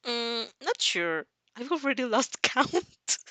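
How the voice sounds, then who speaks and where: A woman's voice: fairly high pitch, big pitch swings, slow, sharp tone, no echo, voice pushed up, full character voice. Cheeky, mock-polite student played by a woman, teasing, dry close recording. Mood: taunting. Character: cocky, teasing student who needles her rival. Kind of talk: amateur audio fanfic acting.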